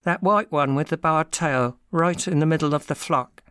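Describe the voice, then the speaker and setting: A man's voice: fairly high pitch, normal speed, fairly bright tone, smooth, dry recording, studio quality, lightly acted. An actor playing an anguished working man confessing to a theft in a dramatic audiobook, telling his story with self-pity. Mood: anxious recounting.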